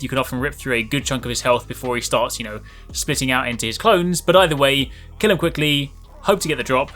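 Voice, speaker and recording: A man's voice: a little high, pushed and bright. A man hosting a gaming guide in an upbeat, chatty YouTuber style with emphatic stresses. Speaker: gaming video host. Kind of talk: scripted video game guide narration.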